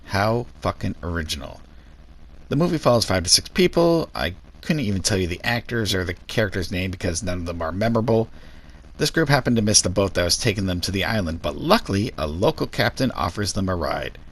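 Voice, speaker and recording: A man's voice: low-pitched, sing-song, fairly quick. A man hosting a scripted review video, giving opinionated, sweary and sarcastic commentary.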